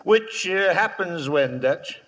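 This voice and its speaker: Casting: mocking American gunslinger, sharp and sly, dangerous amusement, vintage radio western with long reverb. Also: male voice, high voice, expressive, slow, full character voice. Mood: mocking, amused. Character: cocky, taunting male gunslinger, amused and dangerous. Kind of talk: 1950s radio western acting. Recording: reverberant old radio broadcast.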